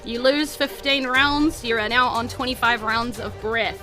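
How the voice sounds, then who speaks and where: A woman's voice: a little high, a little slow, bright, forceful tone, soft background music. A woman with a New Zealand accent running a tabletop fantasy game, narrating informally and playfully with vivid storytelling and casual banter.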